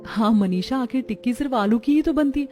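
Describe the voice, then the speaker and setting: A woman's voice: on the high side, brisk, warm tone, lightly acted. A woman playing caring, earnest women of a poor family and neighbourhood in a children's cartoon. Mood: happy, tender. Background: music.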